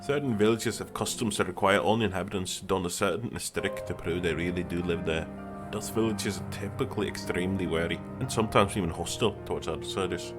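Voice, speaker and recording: A man's voice: sits low, fast talker, natural voice. A man playing a world-weary, grave older detective in a fantasy audio drama. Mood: matter-of-fact. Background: music.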